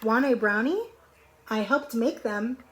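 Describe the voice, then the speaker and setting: A woman's voice: on the high side, a little slow, fairly bright tone, poor audio. A woman voicing a young girl dinosaur from a storybook, childlike and exclaiming, eager and easily upset.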